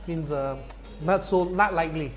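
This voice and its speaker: A man's voice: fairly high pitch, moderate pace, soft background music. A young man casting live esports, chatty and slangy, pushing viewers to join in. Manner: chatty, slangy, promotional asides. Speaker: esports caster.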